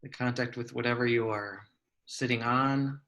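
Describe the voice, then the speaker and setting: A man leading a guided meditation, giving gentle, measured instructions with long pauses between phrases. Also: mid-range voice, fairly even intonation, lo-fi sound.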